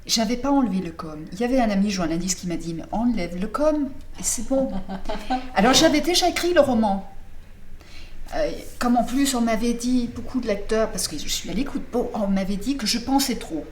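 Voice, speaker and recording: A woman's voice: fairly high pitch, animated, moderate pace. Woman writer, not a native speaker, interviewee, thinks aloud, many restarts, faint background noise. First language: not French. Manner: thoughtful, searching for words with many restarts. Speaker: novelist. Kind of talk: interview answers.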